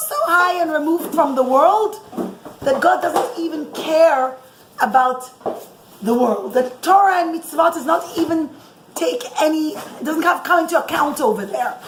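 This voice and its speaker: Mom telling kids a religious story, pretty high voice, echoey noisy recording. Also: female voice, moderate pace. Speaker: mother telling a bedtime story to children. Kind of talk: informal religious storytelling for children. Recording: echoey room with clear background noise.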